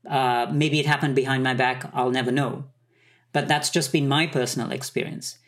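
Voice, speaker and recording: A man from Sri Lanka, a conductor, answering interview questions in a thoughtful, measured way. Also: medium pitch, level tone, a bit bright. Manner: thoughtful, measured, some hesitations. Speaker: conductor, guest.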